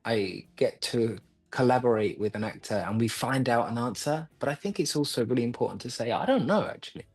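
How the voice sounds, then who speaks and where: A man from London, an acting coach being interviewed, thoughtful and quick to laugh. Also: mid-range voice, lively intonation, slightly rough, dry recording, soft background music. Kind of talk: casual interview.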